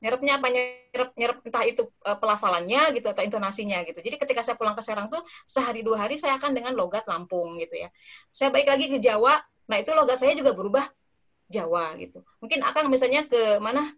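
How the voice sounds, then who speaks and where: A woman speaking as a researcher in a relaxed, chatty online discussion, with many fillers and tag words. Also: a little high, fast talker. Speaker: invited speaker, dissertation researcher. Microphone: online call audio. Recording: slightly dull online call audio.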